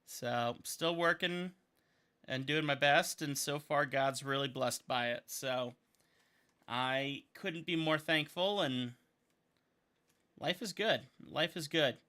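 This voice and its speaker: A man's voice: a little high, slow, pushed and bright. A man in his thirties from Montana, chatting casually and upbeat while he draws on a livestream, rambling and thinking aloud. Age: 30s. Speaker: caricature artist and videographer.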